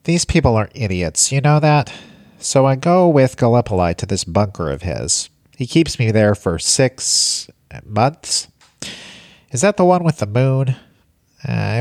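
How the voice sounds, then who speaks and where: A man's voice: medium pitch, no room sound, studio quality. A man playing a cantankerous, muddled retired alien fleet veteran in an audio drama, rambling and grumbling.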